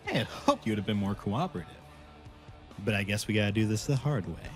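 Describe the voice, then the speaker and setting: A man's voice: on the low side, animated, fast, faint music behind, lightly acted. A man playing a cocky, menacing twelve-year-old bully in a horror audio drama, taunting with mock politeness.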